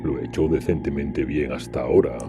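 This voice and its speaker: A man's voice: a bass voice, steady tone, fairly quick, muffled recording, music in the background. A man playing a proud, defiant soldier, a hardened warsmith in an audio drama, curt and cocky in his delivery.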